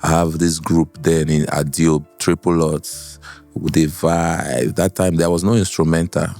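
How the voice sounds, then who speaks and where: Deep bass Nigerian man. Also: level tone, measured pace, gravelly, darkish tone, faint music behind. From Port Harcourt, Nigeria. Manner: mixes in Nigerian Pidgin. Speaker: guest musician, singer. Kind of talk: casual storytelling in interview. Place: podcast studio.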